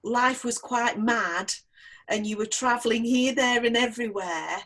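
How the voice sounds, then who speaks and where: Higher-voiced woman interviewer, husky edge. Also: measured pace, a bit bright. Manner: attentive, brief affirming interjections. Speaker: interviewer, church president. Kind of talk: casual interview chat.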